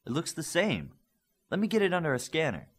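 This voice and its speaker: A man's voice: a little high, huge pitch swings, fairly quick, a bit bright, slightly rough, pitched above the performer's own voice, full character voice. A man voicing a motherly woman scientist with an eager, emphatic manner in an audiobook. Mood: businesslike.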